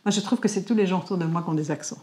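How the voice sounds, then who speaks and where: A woman in her forties from Belgium with a Belgian French accent, co-hosting a casual Q&A vlog in a lively way, often restarting her words. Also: on the low side, rapid-fire. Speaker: vlog co-host. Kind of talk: casual Q&A chat. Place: covered porch beside a house.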